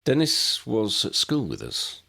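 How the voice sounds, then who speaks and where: A man voicing an earnest grown man in an audiobook, telling his story in a halting, reflective way. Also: on the low side, huge pitch swings, gravelly, sharp tone, breathy edge, pitched above the performer's own voice, heavily acted. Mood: explaining. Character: earnest grown man recalling a lost school friend.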